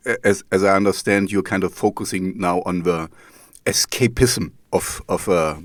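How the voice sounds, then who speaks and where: Hesitant German-accented man, low and dry. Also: moderate pace, dry recording. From East Germany. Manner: hesitant, many fillers and restarts. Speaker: podcast host. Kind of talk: casual interview over a call.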